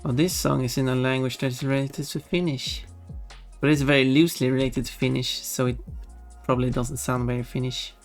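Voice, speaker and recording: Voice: medium pitch, level tone, fairly quick. A streamer chatting casually in a rambling, self-correcting way with giggly asides. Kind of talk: casual livestream chat. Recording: music behind the voice. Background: background music.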